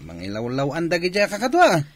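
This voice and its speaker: An actor playing an impatient, grumbling young drinking buddy in a radio drama. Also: male voice, fairly high pitch, huge pitch swings, fairly quick.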